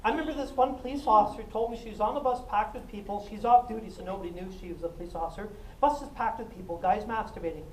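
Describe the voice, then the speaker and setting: A woman giving a self-defense talk, animated and jokey, often laughing and acting out what people said. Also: medium pitch, fast talker, few pauses. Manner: animated, jokey, laughs a lot, acts out quotes. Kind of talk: lively storytelling talk to a class. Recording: echoey room, mic at a distance, faint noise.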